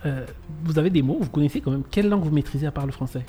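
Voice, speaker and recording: Radio host, quick prompting questions, mellow tone, light music behind. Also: mid-range voice. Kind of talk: radio interview.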